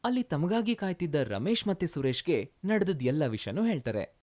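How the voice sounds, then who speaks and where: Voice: medium pitch, very animated, moderate pace, darkish tone. A storyteller reading scripted narration for a moral tale.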